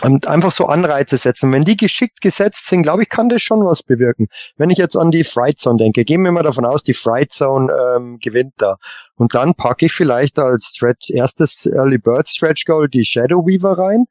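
A man talking casually as a podcast panelist, feeling his way through his thoughts with pauses and repeated words. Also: a little high, animated, darkish tone, no echo. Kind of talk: casual discussion of collector topics.

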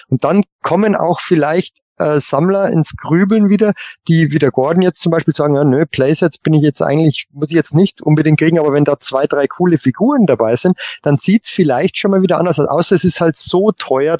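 Male podcast panelist, slightly high voice, very dry sound, casual and searching for words. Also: a little mellow, no room sound. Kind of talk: casual discussion of collector topics.

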